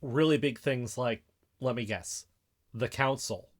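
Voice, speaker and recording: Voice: mid-range voice, measured pace. A voice in scripted audio drama dialogue, wry and conspiratorial, lifting up when spinning a theory. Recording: music bed underneath.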